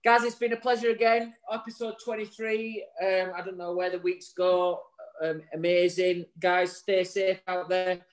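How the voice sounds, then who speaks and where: A man in his forties co-hosts a chat show from home over a video call. His voice sits a bit high, and his talk is chatty and jokey, with loose banter and the odd swear word. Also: flat delivery, moderate pace. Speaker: co-host. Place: home room with virtual bar backdrop. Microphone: video call webcam.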